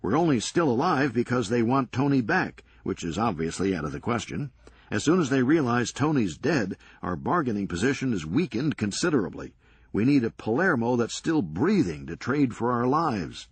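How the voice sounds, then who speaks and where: A man voicing a cool-headed, reckless ringleader in a crime story, brisk and unbothered. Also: mid-range voice, very animated, breathy edge, voice pushed up. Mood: scheming. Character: unflappable, cheerfully reckless male ringleader.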